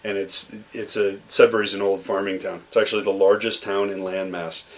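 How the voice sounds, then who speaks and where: Low-voiced man telling rambling stories. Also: little pitch movement, fairly quick. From Massachusetts. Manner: rambling, many restarts and fillers. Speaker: podcast guest. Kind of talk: relaxed conversational storytelling.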